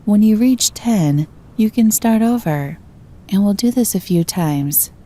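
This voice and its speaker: A woman narrating a scripted guided meditation in a gentle, calm and soothing manner. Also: medium pitch, unhurried.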